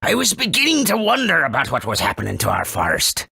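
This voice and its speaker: A man voicing a folksy, cautious elder of a furry forest tribe in a video game, with a gravelly, husky voice and dramatic storytelling manner. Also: medium pitch, wildly swooping pitch, fast talker, pushed and bright, no room sound, exaggerated voice. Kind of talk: video game character acting.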